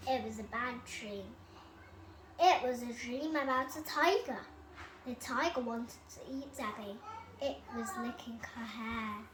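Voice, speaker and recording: A child reading a children's bedtime story aloud with expressive, dramatic delivery. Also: mid-range voice, a little slow. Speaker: storybook reader. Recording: roomy echo, loud enough background noise.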